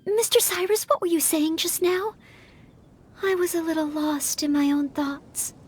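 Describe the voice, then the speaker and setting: A woman's voice: very high voice, a little slow. A woman voicing an earnest, anxious, polite young maid in a video game, studious and fretful in manner. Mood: apologetic, earnest. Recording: background music behind voice.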